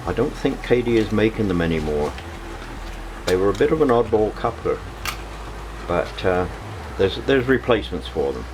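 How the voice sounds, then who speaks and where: Low-voiced man, halting, noisy recording. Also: big pitch swings. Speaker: hobbyist vlog host. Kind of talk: casual unscripted show-and-tell narration.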